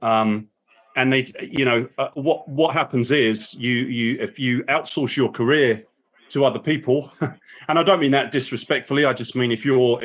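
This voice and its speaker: A man speaking as a guest at a career meetup, reflective, with many restarts and fillers. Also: medium pitch. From not from New Zealand. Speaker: guest speaker, business analyst. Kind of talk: casual panel talk. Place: New Zealand.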